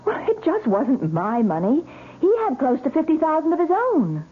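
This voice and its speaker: Distressed, stubborn female bride in denial, highish voice that swings widely, defensive, vintage radio drama. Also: huge pitch swings, normal speed. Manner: defensive, emotional, swooping delivery. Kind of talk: 1970s radio drama acting. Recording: tinny, noisy old radio recording.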